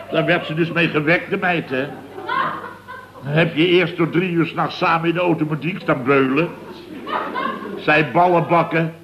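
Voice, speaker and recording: An actor playing a blustering, exasperated middle-aged contractor boss who rambles indignantly in a radio comedy. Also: male voice, on the high side, lightly acted. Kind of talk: radio comedy serial acting. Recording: dull, noisy old radio recording.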